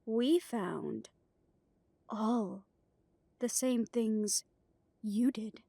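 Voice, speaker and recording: Woman playing a high-voiced, slow, proud vampire leader. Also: gravelly, warm tone, no room sound, full character voice. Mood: cold anger. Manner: slow, deliberate, imperious speech. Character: proud, commanding female vampire coven leader. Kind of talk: dramatic audio roleplay.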